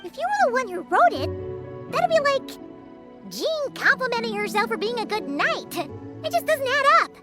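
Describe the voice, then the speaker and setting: A woman voicing a tiny, chatty, childlike floating companion in an animated game, with a squeaky, eager delivery. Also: normal speed, over loud music. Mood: puzzled. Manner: exclamatory, eager.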